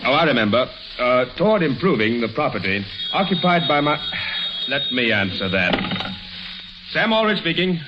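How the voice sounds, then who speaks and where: Through heavy noise on a dull old radio recording comes a blustery middle-aged American lawyer, played by a male actor. His pitch leaps about as he gets flustered and exasperated, in the manner of 1940s radio comedy. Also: big pitch swings, moderate pace, close to an everyday voice. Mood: distracted.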